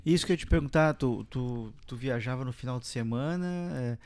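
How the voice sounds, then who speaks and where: A man's voice: mid-range voice, a little fast, a little mellow. A man from Brazil hosting a podcast, chatting casually and sharing his own stories. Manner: friendly, conversational, shares own stories. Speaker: podcast host. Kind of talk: casual interview chat.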